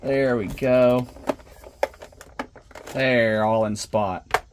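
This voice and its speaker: A man's voice: medium pitch, fairly even intonation, very slow, background noise. A man giving a casual, unscripted hobby show-and-tell, thinking aloud as he works, recorded on a smartphone. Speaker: hobbyist presenter. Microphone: smartphone camera at the workbench.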